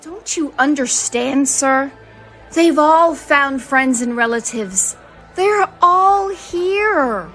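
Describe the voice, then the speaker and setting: Radio drama: woman as pleading subordinate officer, high voice, slow emotional delivery. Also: lively intonation, a bit bright, soft background music, heavily acted.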